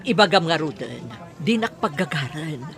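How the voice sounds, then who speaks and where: A woman with an Ilocano accent playing a worried middle-aged mother in an audio drama, in a husky voice. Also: sits low, animated, normal speed, fairly bright tone, faint music behind. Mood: curious, surprised. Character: worried mother guarding her adopted child.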